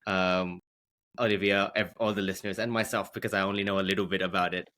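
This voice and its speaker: A man hosting a podcast from an office, friendly and halting, with many ums and restarts. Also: low-pitched, flat delivery, sharp tone. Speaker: podcast host.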